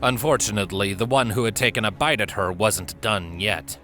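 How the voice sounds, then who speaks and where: A man reading a story as a measured, expressive narrator in a dramatic scripted style. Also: lowish voice. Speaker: fan fiction narrator. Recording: prominent music and effects behind voice. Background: background music, sound effects.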